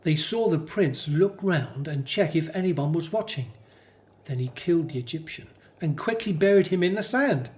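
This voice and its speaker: A man's voice: a little high, expressive, moderate pace, darkish tone, lightly acted. Actor as earnest, hopeful Hebrew family man. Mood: eager, serious. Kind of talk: scripted radio drama acting.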